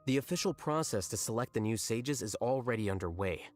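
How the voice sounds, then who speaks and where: An actor playing an aloof, blunt young male scholar in a video game, deadpan, measured and articulate. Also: mid-range voice, lightly acted. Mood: calm, detached. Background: music.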